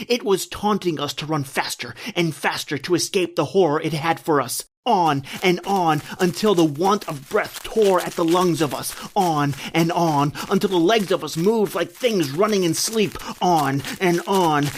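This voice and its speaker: An actor playing a terrified, desperate man recounting his flight from a monster, in intense old-time radio horror style with drawn-out, repeating phrases. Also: on the high side, a little hiss or hum, close to an everyday voice. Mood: frantic. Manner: intense, breathless repetition, drawn-out dramatic phrasing. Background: music.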